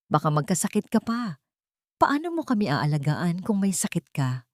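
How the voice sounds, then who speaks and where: A woman's voice: lowish voice, big pitch swings, moderate pace, dry recording. Young woman in love a female narrator acting, hurt yet tender, emotional delivery, dry sound. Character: hurt pregnant young woman, tender toward her lover. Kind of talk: Tagalog romance audio drama.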